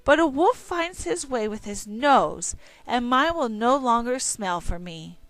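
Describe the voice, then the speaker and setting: A woman voicing a weak, humbled old male wolf in a storybook, read slowly and solemnly. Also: a little high, animated, a bit bright, dry recording, pitched above the performer's own voice.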